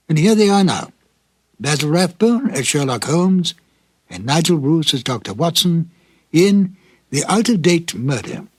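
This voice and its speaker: A man hosting a program with scripted narration, dramatic and deliberate like a storyteller, in a slightly husky voice. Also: a little high, no echo. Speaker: host introducing old radio episodes.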